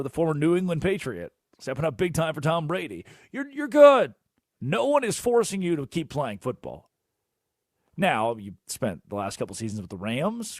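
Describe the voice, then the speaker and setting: A young man hosting a sports radio show, animated and jokey, rambling through asides in a studio. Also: fairly high pitch, dry recording. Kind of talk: energetic sports talk radio. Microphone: studio microphone.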